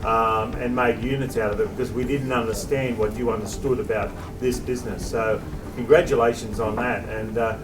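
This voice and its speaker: A man's voice: mid-range voice, little pitch movement, normal speed, hardly any pauses, recorded from a distance, background noise. An Australian man in the audience, speaking up earnestly and rambling a little. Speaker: audience member. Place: restaurant function room with music playing.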